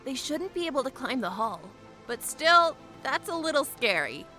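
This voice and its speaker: A woman's voice: high voice, big pitch swings, moderate pace, sharp tone, soft background music, heavily acted. A woman playing a young airship pilot in an audio drama, practical and curious, talking in a frank, conversational way. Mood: uneasy wonder.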